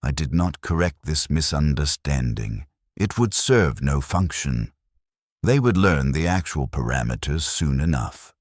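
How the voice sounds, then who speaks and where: Very deep-voiced male story narrator. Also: no room sound, studio quality. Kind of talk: scripted first-person war story narration.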